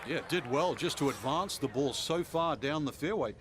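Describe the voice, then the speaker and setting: A man's voice: on the high side, animated, normal speed, fairly bright tone, slight background noise. A man playing an easygoing TV golf analyst, giving conversational, explanatory color commentary in a video game. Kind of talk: sports broadcast commentary. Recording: music and crowd behind voice.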